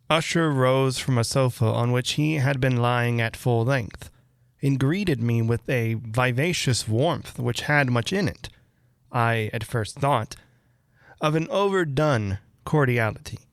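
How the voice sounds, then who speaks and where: Male narrator for classic Gothic fiction: unhurried pace, creaky low-end fry, measured phrasing. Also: no echo, studio quality. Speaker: narrator reading a classic Gothic short story aloud. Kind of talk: measured literary audiobook narration.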